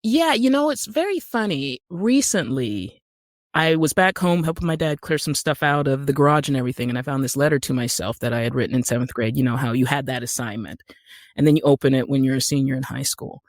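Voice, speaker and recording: A woman's voice: extremely low pitch, brisk, dry recording, studio quality. A middle-aged American woman telling stories casually as an interview guest, with wry, self-deprecating humour. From Utah, United States. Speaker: podcast guest, actor and writer.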